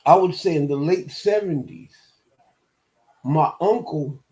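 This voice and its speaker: A man in his fifties from Detroit talks through his own story as host of his show. His delivery is unhurried and mellow, and he often stops, restarts and repeats words before he finds his point. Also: on the high side. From Detroit, USA. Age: 50s. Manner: slow, halting, with many restarts and repeated words. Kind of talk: personal monologue.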